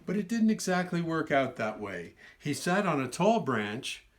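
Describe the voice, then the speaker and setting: A man's voice: on the high side, big pitch swings, unhurried. A man telling a children's story as a storyteller, reading aloud expressively, stretching words for emphasis and adding explanatory asides. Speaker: storyteller reading his own book.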